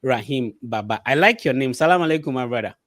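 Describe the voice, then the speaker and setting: A man hosts a live online interview in a casual, conversational way. His voice sits at a middle pitch. The sound is dry and close, with little room in it. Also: lively intonation, quick pace, fairly bright tone, no room sound. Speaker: host of an online interview show. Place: live online video call.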